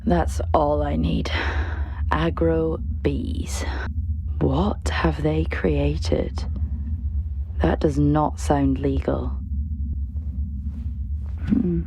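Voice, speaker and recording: Game heroine: wary and determined, lowish, very slow, murmured, natural delivery, noisy background. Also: female voice, vocal fry, full character voice. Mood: awed, self-steadying. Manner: measured, low-key, often murmuring. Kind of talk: naturalistic video game acting.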